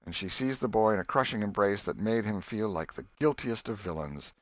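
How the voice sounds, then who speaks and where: A man's voice: on the low side, animated, a little fast, a bit bright, no echo. A man reading a literary story as an audiobook narrator.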